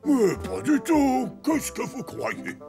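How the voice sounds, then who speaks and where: A man's voice: extremely high pitch, wildly swooping pitch, slow pace, full character voice. A man voicing a clumsy, boastful old elephant grandpa in a cartoon, playful and sheepish, with theatrical exclamations. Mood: proud. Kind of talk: animated cartoon dub acting. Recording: music behind the voice.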